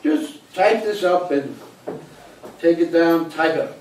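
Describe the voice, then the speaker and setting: A man's voice: on the high side, animated, measured pace, a little mellow. An elderly actor playing a teasing, wisecracking grandfather in a live comic stage play. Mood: wry. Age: 70s. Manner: wisecracking storyteller. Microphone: distant camera. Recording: echoey small theater, distant camera, hiss.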